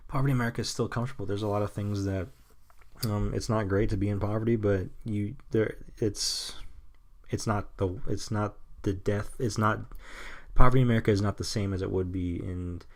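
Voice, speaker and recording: Low-voiced young man rambling blunt opinions. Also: fairly even intonation. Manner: halting, full of restarts and nervous laughs; blunt and cynical, swears casually. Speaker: podcast host. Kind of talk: rambling solo podcast monologue.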